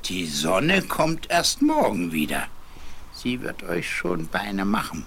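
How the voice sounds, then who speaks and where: An actor playing a gruff, scornful old yard dog in a fairy-tale radio play, with a gravelly, husky voice and an animated, know-it-all manner. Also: male voice, on the low side, takes it slowly, a little hiss or hum, heavily acted. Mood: mocking.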